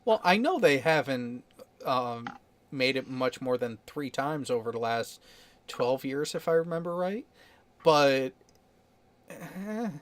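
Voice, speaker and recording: A man's voice: mid-range voice, lively intonation, slow. A man hosting a casual podcast chat, explaining things and giving opinions in an easygoing way. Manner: chatty, explains things, opinionated about sports.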